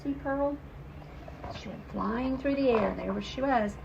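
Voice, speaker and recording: A woman's voice: on the high side, slow, creaky, lo-fi sound, background noise. A woman reading a storybook aloud to children as a teacher, with asides to her young listeners.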